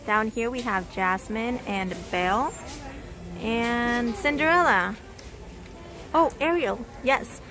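Shopping vlogger, woman, higher voice, phone-filmed in a shop with music playing. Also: slow, long pauses. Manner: reads out prices, exclaims in surprise. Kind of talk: casual shopping walkthrough. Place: indoor discount store. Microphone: handheld phone camera. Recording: store music playing behind. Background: store music.

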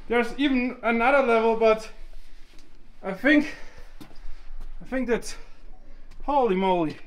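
Excitable high-pitched young man vlogging. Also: measured pace, dry recording. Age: 20s. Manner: excitable, informal exclamations. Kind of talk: casual vlog chat while exploring. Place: outdoors in snowy castle ruins. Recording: noisy outdoor camera audio.

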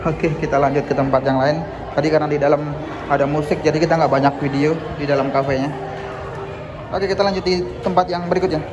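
Chatty thirties dad narrating a family outing on a handheld camera, heavy street noise. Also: male voice, on the high side, steady tone, fast talker, highs cut off. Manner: chatty, upbeat, points things out. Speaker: vlogger. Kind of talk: casual family vlog narration. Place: outdoors and in shops, on the move.

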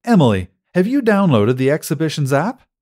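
A man voicing a courteous, patient robot museum guide in a science fiction audiobook, speaking in a formal, measured way. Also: fairly high pitch, sing-song, a little mellow, pitched above the performer's own voice.

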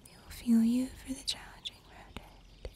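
A young woman playing a gentle mystical healer in an ASMR fantasy roleplay, whispering slowly, breathily and tenderly. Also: a little high, flat delivery, slightly rough. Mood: tender, comforting. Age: young adult. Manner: slow, soothing, tender. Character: gentle mystical female healer comforting a weary wanderer. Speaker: ASMR performer.

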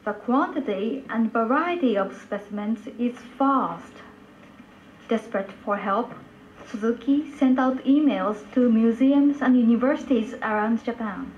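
A woman's voice: on the high side, a little slow, darkish tone. A woman narrating a scripted news report, replayed over speakers in a room. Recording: muffled, noisy playback in a room.

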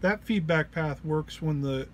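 A man's voice: fairly high pitch, measured pace, loud music behind the voice. A man giving an informal gear walk-through as a demonstrator, hesitant and searching for words, sounding under the weather. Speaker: musician demonstrating an effects pedal patch. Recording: clear background noise.

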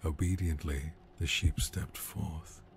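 A man's voice: extremely low pitch, fairly even intonation, slow pace, vocal fry, slight background noise. A man reading a rhyming bedtime story for a horror game in a theatrical, ominous manner. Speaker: storybook narrator.

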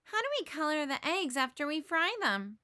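A woman's voice: sits high, sing-song, moderate pace, sharp tone, no room sound, clean studio sound, pitched above the performer's own voice, full character voice. A woman voicing a meek, polite, puzzled little girl in a children's storybook. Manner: polite, questioning.

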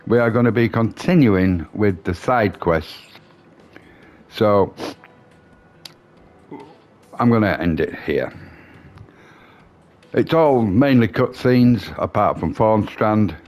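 Lively man signing off a gaming video. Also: on the low side. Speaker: gaming channel host. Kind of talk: casual video game commentary outro.

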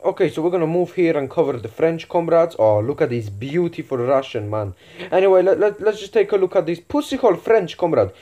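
A man's voice: fairly high pitch, big pitch swings, fairly quick, hardly any pauses, warm tone. A man playing a foul-mouthed Soviet commander. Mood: crude mocking. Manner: profane and mocking, says "comrades" constantly. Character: crude, boastful male Soviet commander persona. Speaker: reaction video host. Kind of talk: comedic video reaction commentary.

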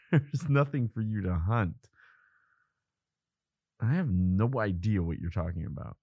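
A man playing a devoted, protective boyfriend in a dramatic audio roleplay, with an emotive, halting delivery. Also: fairly low pitch, expressive, vocal fry, slightly rough, no echo, highs cut off, exaggerated voice. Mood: wary, dismissive. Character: devoted boyfriend of a vampire, protective, frantic, defiant.

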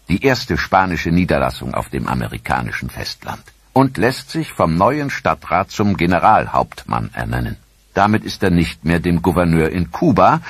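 A man narrating a documentary in a dramatic, expressive scripted manner with rhetorical questions. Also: deep voice, breathy edge, no echo.

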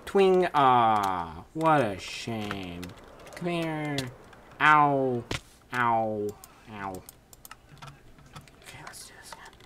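Teen guy yelling over his minecraft run at a desk mic, voice bouncing high and low, talks slow between the squeals. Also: very animated, slight background noise. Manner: shouts and squeals when failing or winning, reads signs aloud. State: losing his voice. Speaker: gaming video creator. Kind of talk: excited gameplay commentary. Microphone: desk mic with webcam.

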